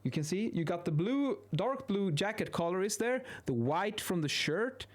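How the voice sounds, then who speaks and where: A man in his thirties speaks English with a Swedish accent in a fairly high voice. He explains things informally and haltingly, often restarting words. He is close to a studio microphone in a home office. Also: animated. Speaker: video host, acoustics instructor. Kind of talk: informal explainer while sketching. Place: small indoor home office. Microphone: close boom-arm studio microphone.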